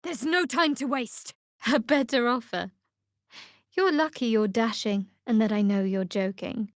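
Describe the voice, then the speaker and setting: Woman acting a guarded, sardonic young cleric, higher-pitched. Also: no echo, lightly acted. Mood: wry, composed. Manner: dry, measured, wry delivery. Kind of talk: fantasy video game voice acting.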